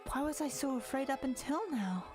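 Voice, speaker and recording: A timid teenage girl, voiced by a woman in a visual novel dub. She stammers, pleads and drops into breathy whispers when upset, fragile and easily shaken. Music plays softly behind her. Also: high voice, animated, fairly quick, voice pushed up. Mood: nervous, giddy. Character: timid, distraught teenage girl slowly turning giddy. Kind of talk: visual novel fan dub, dramatic reading.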